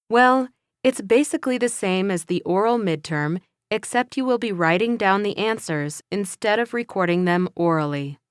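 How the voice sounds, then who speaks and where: A woman playing an encouraging but firm English teacher, speaking slowly and carefully in a scripted classroom dialogue. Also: mid-range voice, clean tone, no room sound, clean studio sound, close to an everyday voice. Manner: slow, careful, instructive delivery.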